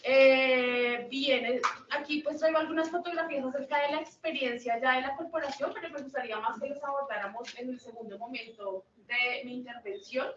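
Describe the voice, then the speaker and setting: A woman's voice: sits high, a little fast, few pauses, a bit bright. A woman giving a semi-formal seminar presentation in reflective, roundabout phrases with small tag questions. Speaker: seminar speaker. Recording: echoey, distant muffled mic, faint noise.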